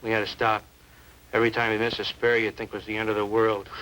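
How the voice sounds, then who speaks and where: A bright, forceful, quick-talking neighbor, acted by a man in a 1950s crime drama, on the low side in pitch. He is a gossipy, opinionated witness, earnest and emphatic, over a faintly noisy old soundtrack. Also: flat delivery, close to an everyday voice. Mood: eager, opinionated. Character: talkative, opinionated male neighbor, eager witness.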